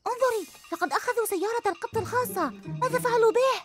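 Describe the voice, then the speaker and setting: Eager cartoon kid hero, voiced by an actor, very high, rushed, music behind. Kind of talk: animated series dub. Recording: music under dialogue.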